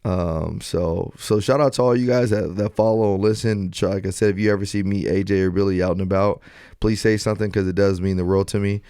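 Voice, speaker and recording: Male podcast host, low-pitched, dry close sound, slangy and conversational storyteller. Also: brisk, clean tone, dry recording. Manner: slangy, colloquial, animated when retelling exchanges. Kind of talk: casual podcast banter and storytelling.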